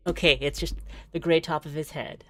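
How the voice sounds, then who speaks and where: A woman with a low voice and a slow, measured delivery, recorded close and dry. She reads game text dramatically and drifts into casual, rambling asides as a streamer. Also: fairly bright tone, no echo. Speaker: streamer playing visual novel demos. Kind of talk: casual livestream commentary mixed with dramatic read-aloud narration.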